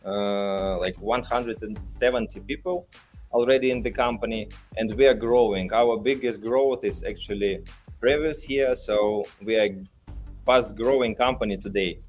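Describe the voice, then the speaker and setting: A man with a Russian accent, a native Russian speaker, hosting a casual live company podcast with halting, hesitant phrasing. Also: fairly low pitch, soft background music. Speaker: company leader hosting a livestream. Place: studio built in a hotel room.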